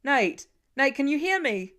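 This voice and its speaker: A woman's voice: high-pitched, sing-song, a little slow, a bit bright, voice pushed up, exaggerated voice. A devoted, protective dad of young children, voiced by a woman narrating an audiobook. He talks in an earnest, parental way, full of care for his child. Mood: urgent, calling out. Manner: soothing, earnest parental talk. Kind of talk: audiobook character acting.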